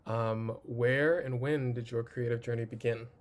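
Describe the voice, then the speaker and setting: Man co-host, relaxed, casual, lots of "like", interview questions. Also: medium pitch, a little slow. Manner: casual, relaxed, uses "like" a lot.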